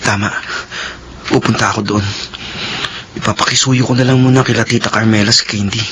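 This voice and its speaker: An actor playing a worried young son in a classic radio drama, talking in an earnest, worried way. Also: male voice, lowish voice, fairly even intonation, fairly quick, fairly bright tone, lightly acted. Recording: hissy old radio broadcast.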